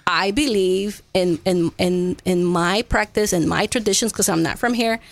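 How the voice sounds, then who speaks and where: A woman's voice: mid-range voice, steady tone, moderate pace, no room sound. Earnest woman from Ecuador explaining, dry sound. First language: Spanish. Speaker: guest, massage therapist. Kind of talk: conversational interview, explaining.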